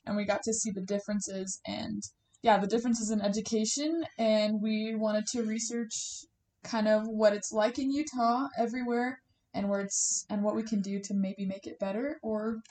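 A woman's voice: medium pitch, fairly even intonation, normal speed, darkish tone. A young woman with an American accent, chatting casually as a student podcast co-host. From Virginia, United States. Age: young adult. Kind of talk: casual chat. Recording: roomy, echoey sound.

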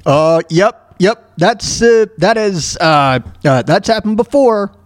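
An American man with a fairly high voice that swings around a lot, recorded very dry. He hosts a casual talk show, rambling through commentary and often stammering and repeating himself as he goes. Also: animated, dry recording. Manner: halting, stammering, repeats words and phrases. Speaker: solo host of a talk show.

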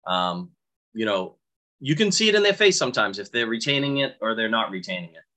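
Bright-voiced Boston man, blunt. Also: fairly low pitch, big pitch swings, a little fast. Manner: blunt, storytelling, quotes himself. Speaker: guest, sprinkler fitter foreman. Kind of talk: casual podcast chat.

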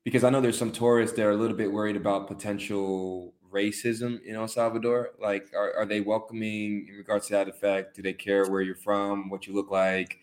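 A man hosting a podcast interview, asking questions in a relaxed, agreeable way. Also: fairly low pitch, fairly quick, hardly any pauses. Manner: relaxed, agreeable, lots of yeahs. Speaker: podcast host. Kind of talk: casual interview chat.

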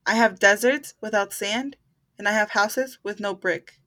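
A woman co-hosting a casual podcast, reading riddles aloud slowly and quietly and laughing between them. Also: mid-range voice, fairly even intonation.

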